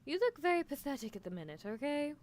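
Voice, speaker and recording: A woman's voice: high voice, very animated, fast talker, darkish tone, slight background noise. A woman voicing a playfully menacing, teasing female mad scientist in a roleplay ASMR stream, musing theatrically. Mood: mocking, exasperated. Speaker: livestreamer. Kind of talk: roleplay ASMR livestream, answering chat in character.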